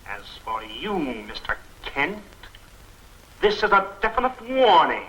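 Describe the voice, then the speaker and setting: An actor playing a menacing criminal mastermind in a 1940s film serial, threatening in a theatrical, commanding manner. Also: male voice, a little high, wildly swooping pitch, a bit bright, slight background noise, full character voice, rough recording. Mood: cold menace. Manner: slow, theatrical, commanding delivery.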